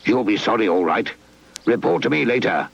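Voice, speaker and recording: A man's voice: fairly low pitch, animated, fairly quick, vocal fry, husky, full character voice. A man voicing a conceited, imperious puppet tyrant in a children's story. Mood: angry. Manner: imperious, firm. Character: conceited, bossy male puppet villain, petty tyrant. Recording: muffled old VHS tape, music underneath.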